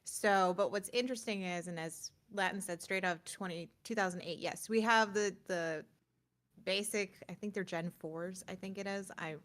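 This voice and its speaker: A woman's voice: medium pitch, normal speed, a bit bright. A woman co-hosting a gaming news show in casual, chatty, loosely rambling talk. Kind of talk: casual chat.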